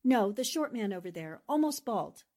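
A woman's voice: medium pitch, big pitch swings, normal speed, mellow. An actress playing a sensible woman reporter, the steadier partner, speaking in confiding asides in a radio drama style. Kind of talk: 1940s radio drama acting.

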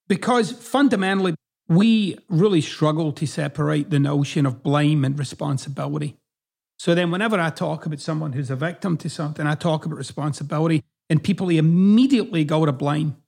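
Casting: middle-aged Scottish man, Americanized accent, higher-pitched, gravelly podcast host, blunt and sweary. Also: brisk, darkish tone. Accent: Scottish, partly Americanized. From Scotland. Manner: blunt, swears freely, emphatic. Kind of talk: casual monologue.

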